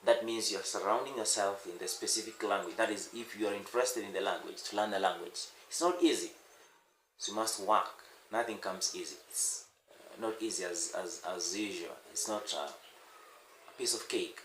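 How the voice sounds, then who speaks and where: A man's voice: on the low side, fairly bright tone. Swahili-speaking man teaching in an echoey room. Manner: unscripted, repeats words and pauses with many "uh"s. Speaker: language teacher. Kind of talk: casual spoken lesson to camera. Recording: echoey room with faint noise.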